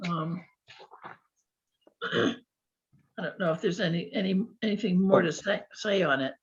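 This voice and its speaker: A woman on a committee who is losing her voice, reporting at a meeting with many fillers and throat-clearing. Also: fairly low pitch, a little slow. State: hoarse, losing voice. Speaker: committee member. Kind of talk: meeting discussion. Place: public meeting room. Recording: dull lo-fi meeting recording.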